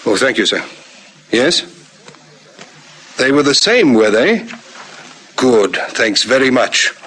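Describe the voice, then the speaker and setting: An actor playing a methodical, courteous police lieutenant in a radio crime drama. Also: male voice, mid-range voice, big pitch swings, slow, pushed and bright, husky, exaggerated voice. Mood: businesslike, respectful. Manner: businesslike, courteous, thinking aloud. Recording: noisy vintage radio recording.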